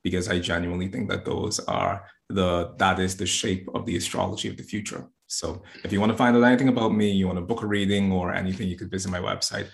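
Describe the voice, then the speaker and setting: Man from the Bahamas, very low voice, gravelly, earnest, restarts his words. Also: fairly even intonation, fast talker, hardly any pauses. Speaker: astrologer and yoga teacher, guest. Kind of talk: casual interview talk.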